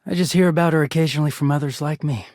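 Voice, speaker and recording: A man voicing a wounded, conflicted living garment in a video game, husky and emotional, confiding with some swearing. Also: fairly high pitch, racing, darkish tone, dry recording, studio quality, close to an everyday voice. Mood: wistful, hurt.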